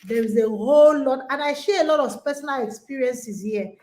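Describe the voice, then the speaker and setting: High-voiced woman giving an emphatic pep talk. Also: fairly quick, dark tone. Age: 40s. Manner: emphatic and exhorting, with repeated rallying phrases. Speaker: conference host and women's coach. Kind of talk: motivational talk to an online audience.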